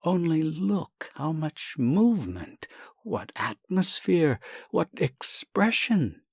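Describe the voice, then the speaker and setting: A man's voice: fairly high pitch, very animated, slow pace, vocal fry, slightly rough, no room sound, clean studio sound, pitched above the performer's own voice, full character voice. A man voicing an earnest, effusive young art lover and devoted son in a comic story, gushing, emphatic and pleading. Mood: gushing, insistent. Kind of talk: acted reading of a comic short story.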